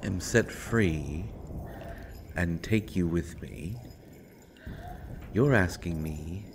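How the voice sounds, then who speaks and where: An actor playing a menacing, sardonic male assassin held captive in a dramatic audio roleplay, brooding and deliberate, with dry mockery and dramatic pauses. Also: sits low, slight background noise, heavily acted. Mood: grim, probing.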